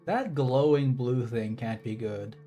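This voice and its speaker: A man's voice: medium pitch, takes it slowly, dark tone, faint music behind. Rambling, wry male game streamer. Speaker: livestreamer playing a game. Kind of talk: casual game commentary.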